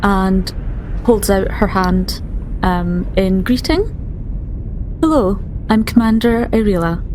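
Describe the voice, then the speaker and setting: A woman's voice: medium pitch, slow, noisy background. A woman playing a tabletop role-playing game, describing her character casually in short, hesitant phrases. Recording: loud music behind the voice.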